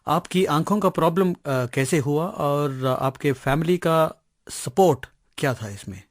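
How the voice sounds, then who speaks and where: An Indian man asking measured questions as a radio interviewer. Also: on the high side, expressive, dry recording, clean studio sound.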